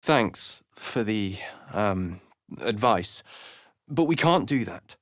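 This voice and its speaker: A man voicing a gruff, protective brother from a farm in a fantasy video game. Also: lowish voice, animated, slow, fairly bright tone, no echo, clean studio sound. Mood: guarded, insistent. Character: gruff protective brother of a missing young woman, farmer. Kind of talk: video game character dialogue.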